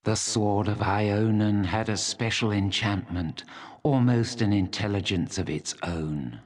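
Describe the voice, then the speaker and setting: An actor playing an ancient, proud and embittered dragon in a fantasy video game, speaking in a solemn, deliberate, coldly menacing way. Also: male voice, fairly low pitch. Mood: bitter, grave. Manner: slow, deliberate, solemn delivery.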